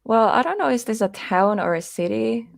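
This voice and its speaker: A woman's voice: a little high, expressive, normal speed, vocal fry, dry recording, clean studio sound. A young woman from Finland with a Finnish accent, a guest chatting casually and hesitantly, with many fillers. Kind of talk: casual interview chat.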